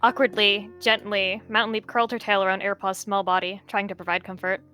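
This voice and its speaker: A woman narrating her part in a roleplay story, light and lively. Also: mid-range voice, brisk, soft background music.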